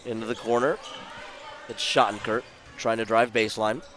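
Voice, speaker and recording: A man doing play-by-play at a basketball game, his voice sore and worn from illness, calling the action in short brisk bursts. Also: lowish voice, steady tone. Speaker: play-by-play announcer. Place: school gym courtside. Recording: loud crowd and gym noise behind.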